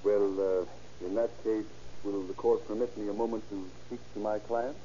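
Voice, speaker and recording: A man's voice: fairly low pitch, fairly even intonation, moderate pace, close to an everyday voice. Man playing a defense attorney, formal, oratorical, 1930s radio drama, muffled. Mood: hesitant. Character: flustered male defense attorney in court.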